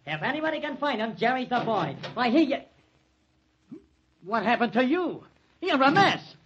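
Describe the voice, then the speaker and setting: Older man, husky, folksy, hesitant, worried, lively intonation, muffled old radio recording. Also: extremely high pitch, quick pace, slight background noise. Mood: reassuring. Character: kindly, worried old fight-game man. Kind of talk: old-time radio drama acting.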